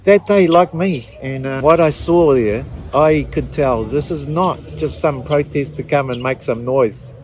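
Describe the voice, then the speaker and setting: A middle-aged woman with a New Zealand accent, giving an emotional personal testimony in an earnest, halting way with frequent restarts. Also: very deep voice, a little mellow, slight background noise, rough recording. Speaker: activist interviewee.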